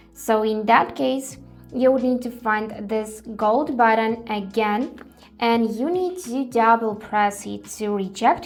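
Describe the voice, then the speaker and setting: Higher-pitched woman presenting a tutorial. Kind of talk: step-by-step product tutorial.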